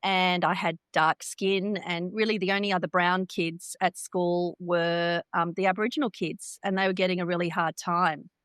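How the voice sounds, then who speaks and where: A woman in her fifties from Australia, whose first language is Hindi, tells her life story in an interview in a reflective, measured way. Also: lowish voice, level tone, a bit bright, clean tone, no echo, studio quality. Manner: reflective, measured, with occasional repeated words. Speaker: guest.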